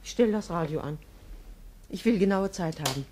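An actress playing a tired, orderly mother in an old radio drama, brisk in manner. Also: lowish voice, lively intonation, mellow. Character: tired older mother, fussy about order. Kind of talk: 1950s radio drama acting. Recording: old radio broadcast with music.

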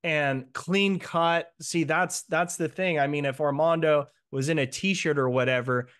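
Encouraging guy host, slightly high voice, chatting over earbuds on a call. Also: fairly even intonation, fairly bright tone, smooth. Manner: upbeat, encouraging, quick recaps. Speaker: host, limo marketing coach. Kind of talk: casual interview on a video call. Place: room at home. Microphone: earbuds on a video call.

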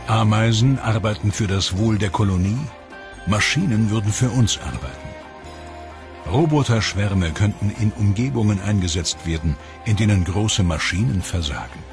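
A man narrating a documentary from a script in a measured way, slowing down and dropping his voice on the ominous lines. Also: fairly low pitch. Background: documentary music score.

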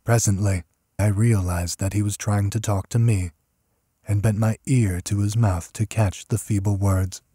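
A man reading a horror story as an audiobook narrator, measured and solemn in his delivery. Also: on the low side, steady tone, warm tone, husky, dry recording, studio quality.

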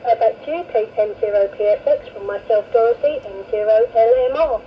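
A woman's voice: a little high, a little fast, breathy edge. A woman amateur radio operator chatting casually, heard over a two-way radio. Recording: muffled, noisy FM radio link.

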